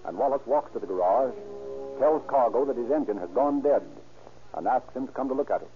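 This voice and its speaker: Fast-talking vintage radio narrator, male. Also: mid-range voice, expressive. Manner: formal, dramatic announcer delivery. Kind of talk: 1930s-40s radio scripted narration. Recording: tinny old radio recording, music behind.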